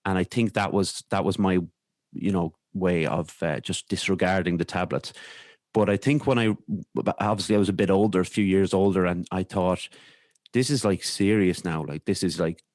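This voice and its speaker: Deep-voiced Irish man telling his story. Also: darkish tone, no echo, clean studio sound. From Ireland. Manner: halting, reflective, repeats words and trails off. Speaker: podcast guest. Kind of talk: personal storytelling in an interview.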